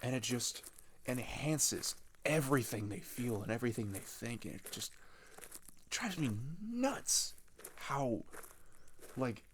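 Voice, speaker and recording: A young man playing an earnest, frustrated teenage traveller in an ASMR audio roleplay, talking in a casual, halting, rambling way. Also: mid-range voice, big pitch swings, a little hiss or hum.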